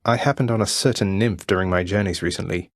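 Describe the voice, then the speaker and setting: A man playing a young underworld prince in a video game, friendly, courteous and gently wry. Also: sits low, fast talker, no room sound, studio quality, natural voice. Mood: curious, wry.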